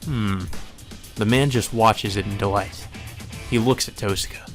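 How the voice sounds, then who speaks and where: A man's voice: on the low side, steady tone, measured pace. A man reading visual novel narration aloud as a let's play host. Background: faint music.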